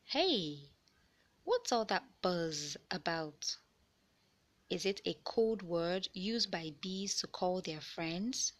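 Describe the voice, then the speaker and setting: Animated, unhurried woman reading to children. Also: on the low side. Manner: deliberate, questioning storyteller delivery for young listeners. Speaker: read-aloud narrator of children's books. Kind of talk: expressive read-aloud of a children's science picture book.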